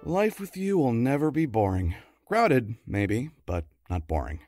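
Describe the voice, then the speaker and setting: A man playing a patient, affectionate partner with dry, gentle wit in a naturalistic comedy audio drama. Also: mid-range voice, big pitch swings, unhurried, loud music behind the voice. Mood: wry affection. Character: patient, affectionate, wry husband or boyfriend.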